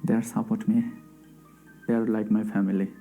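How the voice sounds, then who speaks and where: Bangladeshi guy telling his story, broken english, lots of pauses, dark sound, faint music under it. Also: fairly low pitch, level tone, breathy edge. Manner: halting, simple English with pauses. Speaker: asylum seeker interviewee. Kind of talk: documentary testimony.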